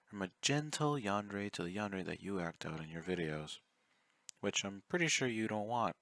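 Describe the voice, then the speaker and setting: Casting: male actor as obsessive yandere stalker for ASMR roleplay; low, close and dry, coaxing, politely menacing. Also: animated, moderate pace, a bit bright, dry recording. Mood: longing, veiled threat. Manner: intimate, coaxing, courteous while threatening. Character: obsessive, clingy, soft-spoken young male yandere fan, politely menacing.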